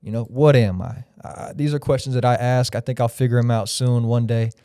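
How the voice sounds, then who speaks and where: Mellow, smooth-voiced man in his thirties. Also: mid-range voice, steady tone, brisk, dry recording, clean studio sound. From Florida. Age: 30s. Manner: rambling, reflective, freewheeling. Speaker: podcast guest. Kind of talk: casual podcast chat.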